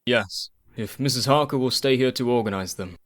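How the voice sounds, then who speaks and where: An actor playing a grieving, skeptical sanitarium doctor in a radio play, formal, weary and stubborn. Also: male voice, medium pitch, normal speed, dry recording, clean studio sound, close to an everyday voice. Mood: firm.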